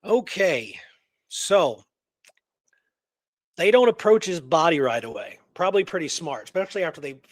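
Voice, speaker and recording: A man's voice: fairly high pitch, sing-song, moderate pace, long pauses, dry recording, studio quality. A man co-hosting a radio show, telling a story in a casual, digressive way and often checking in with the listener. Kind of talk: casual conversational storytelling and discussion.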